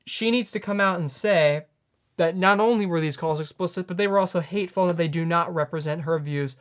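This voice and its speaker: A man in his late teens hosting a news commentary, earnest and halting as he corrects himself. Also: on the high side. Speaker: news host.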